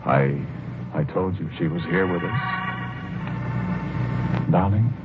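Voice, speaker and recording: Deep-voiced, haunted, brooding husband. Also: male voice, slow pace. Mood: hesitant, secretive. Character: haunted wounded husband recounting his tragic tale. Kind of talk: 1940s radio drama first-person narration. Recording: lo-fi old radio recording, music behind.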